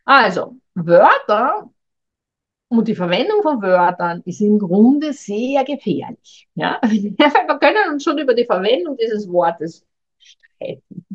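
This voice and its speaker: A woman's voice: fairly high pitch, sing-song, slow pace. A middle-aged woman, speaking as a communication coach in a thoughtful, hesitant way and addressing the listener directly. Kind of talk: reflective advice monologue. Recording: dull lo-fi recording.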